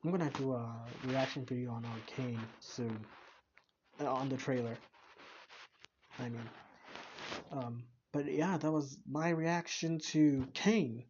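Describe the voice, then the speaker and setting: A man's voice: medium pitch, steady tone, slow, pauses often, muffled recording, background noise. A young man reacting to a video, rambling and hesitant with many restarts. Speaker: reaction channel host. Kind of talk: casual reaction commentary.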